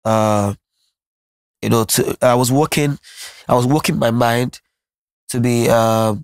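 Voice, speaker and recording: A man's voice: medium pitch, steady tone, slow pace, smooth, dry recording. A Nigerian man whose first language is Yoruba, talking earnestly and reflectively as an interview guest, often restarting his sentences. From Nigeria (Lagos). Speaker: musician guest. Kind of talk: candid interview conversation.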